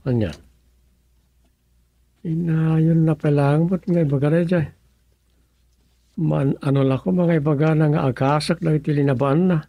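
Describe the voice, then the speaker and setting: An actor playing an easygoing village husband in an Ilocano comedy radio drama, with an Ilocano Filipino accent and muttered, hesitant interjections. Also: male voice, fairly high pitch, fairly even intonation, unhurried, vocal fry, long pauses, no room sound, phone-quality sound. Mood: grumbling. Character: easygoing comic village husband and aspiring scriptwriter.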